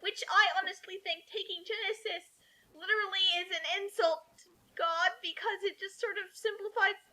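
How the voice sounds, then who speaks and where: Casting: female co-host for comedy commentary, bright, high voice, opinionated and emphatic. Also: steady tone, moderate pace. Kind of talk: opinionated riffing on a read-aloud story.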